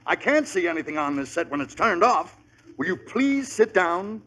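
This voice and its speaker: High-pitched, exasperated radio dad. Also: male voice, sing-song, moderate pace, fairly bright tone, full character voice. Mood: weary, exasperated. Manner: blustering, sarcastic grumbling. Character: exasperated middle-aged father, easily flustered. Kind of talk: old-time radio situation comedy acting. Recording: noisy old-time radio recording.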